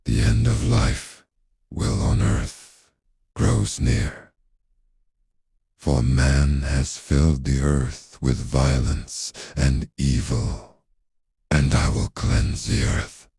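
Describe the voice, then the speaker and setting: A gravelly, abyss-low voice of God. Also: male voice, mellow, no echo, studio quality, exaggerated voice. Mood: grave warning. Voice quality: gravelly, husky. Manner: slow, solemn, ominous pronouncements. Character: voice of God, grave ancient male, commanding. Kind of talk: scripted audio drama.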